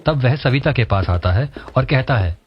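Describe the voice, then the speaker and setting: A man narrating a cartoon story in a steady, scripted storytelling manner. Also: medium pitch, moderate pace. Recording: dull lo-fi voice-over.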